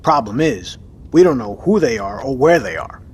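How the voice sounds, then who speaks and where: Man acting lively, commanding navy captain. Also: medium pitch, moderate pace, breathy edge. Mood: brisk, probing. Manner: commanding, profane, clipped. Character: gruff, decisive navy submarine captain in command. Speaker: actor in an audio drama. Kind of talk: scripted audio drama acting.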